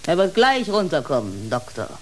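An actress playing a caring, brisk housekeeper in a radio drama, with a matter-of-fact manner. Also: female voice, low voice, wildly swooping pitch, exaggerated voice. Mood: solicitous.

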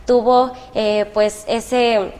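A young woman with a Mexican accent, a medical student guest, who explains carefully and pauses to find her words. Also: a little high, level tone, darkish tone, big-room sound. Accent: Mexican Spanish. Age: young adult. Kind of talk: casual discussion.

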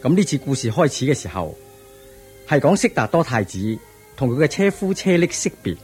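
A man's voice: mid-range voice, big pitch swings, normal speed, darkish tone, soft background music. A man narrating a scripted radio drama as storyteller.

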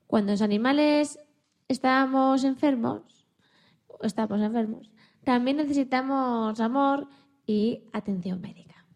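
A high, mellow voice tells a children's radio tale as a timid young dog played by a woman. He is tender and hopeful, lingering on phrases with expressive, halting storytelling. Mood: tender, earnest. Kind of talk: children's story radio play, first-person narration.

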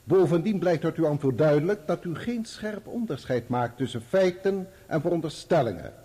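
Sardonic, mellow-voiced male barrister. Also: on the high side, animated, moderate pace, breathy edge. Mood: probing, formal. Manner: formal, pointed, sardonic. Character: sharp male barrister cross-examining a witness, sardonic and insistent. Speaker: actor playing a lawyer. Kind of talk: Dutch radio play courtroom acting.

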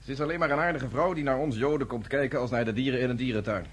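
An actor playing a blunt, self-assured resistance fighter in a radio drama. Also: male voice, mid-range voice, rapid-fire, slightly rough, natural voice. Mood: dry, matter-of-fact.

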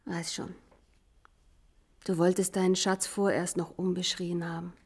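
An actress playing a shrewd, self-assured, gently teasing woman in a period radio drama, articulate and knowing. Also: on the low side, little pitch movement, unhurried, slightly rough.

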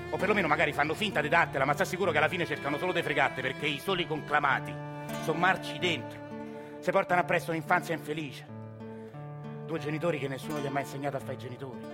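A man's voice: on the high side, natural voice. An actor playing a lonely, bitter working-class man with a Roman accent, delivering a theatre monologue that swings from muttering to sarcastic outbursts. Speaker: stage actor. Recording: live stage recording over guitar. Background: guitar music.

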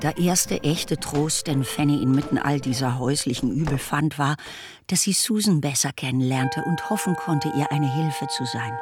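A woman's voice: sits low, moderate pace. A woman reading scripted literary narration as the narrator of a radio drama. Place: studio. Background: music.

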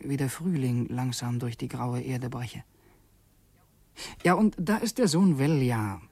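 An actor playing a brooding secretary who is secretly a revolutionary assassin, speaking haltingly and reflectively in a German radio drama, at times near a whisper. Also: male voice, on the high side, warm tone, husky, dry recording. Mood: musing, narrating.

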